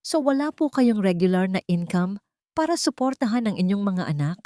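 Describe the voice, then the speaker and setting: A woman's voice: mid-range voice, sing-song, normal speed, dark tone, no room sound, clean studio sound, pitched above the performer's own voice, lightly acted. A woman voicing a formal, serious social worker in her forties who questions politely in a story. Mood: probing. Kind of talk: audio drama storytelling.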